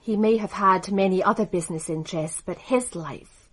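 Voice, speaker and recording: A woman's voice: medium pitch, moderate pace, close to an everyday voice, low-quality recording. An actress playing a poised woman who runs a racing business, speaking in a composed, dry way in a radio drama. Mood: cool. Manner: composed, dry, crisply assured. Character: poised woman racing executive, cool and cutting.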